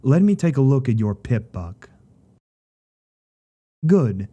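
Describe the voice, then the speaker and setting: A man playing a stern, cautious pony therapist in an audio drama, speaking in a formal, measured, admonishing way. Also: medium pitch, sing-song, mellow, dull audio. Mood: stern concern.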